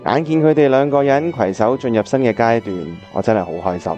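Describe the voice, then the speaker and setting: A man's voice: medium pitch, fairly quick, warm tone, highs cut off, soft background music, natural voice. A man playing an earnest, reflective bachelor in a Cantonese radio drama, with a Hong Kong accent. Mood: heartfelt speech. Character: earnest, reflective bachelor looking back on old love.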